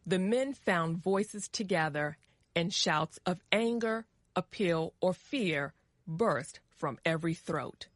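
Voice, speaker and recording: An American woman narrates a scripted story for language learners. Her voice is fairly low and very slow, every word carefully set out, in a dry recording. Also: dry recording. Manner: measured, carefully enunciated.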